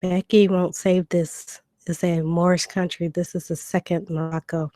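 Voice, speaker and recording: A woman, hoarse from a cold, hosting a live stream and answering viewer comments in a relaxed chat with lots of ums. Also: on the low side, moderate pace, no room sound. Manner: conversational, reads comments aloud and answers them, frequent ums.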